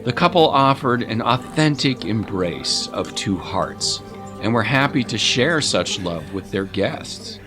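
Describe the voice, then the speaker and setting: A man narrating a scripted story in a measured, literary and expressive dramatic style. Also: lowish voice, over music.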